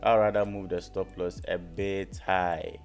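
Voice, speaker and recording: A man's voice: deep voice, level tone, unhurried, faint music behind. A man hosting a live trading lesson, repeating his phrases and often checking in with his listeners. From Ghana. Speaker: forex trading educator and live stream host. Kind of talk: live stream teaching, market analysis.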